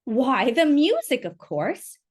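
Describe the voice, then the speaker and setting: A woman's voice: sits high, big pitch swings, slow, full character voice. A woman voicing a woman food seller in a storybook, fondly reminiscing. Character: warm woman empanada seller.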